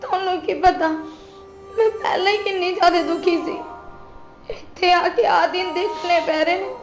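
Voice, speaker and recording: A very high, thin voice carries the hurt of a young wife who feels betrayed, breaking into tears as she pleads. A young woman acts her out. The room rings with echo, and soft music sits behind her. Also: steady tone, a little slow. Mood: tearful. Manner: emotional, tearful pleading. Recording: roomy echo, faint music.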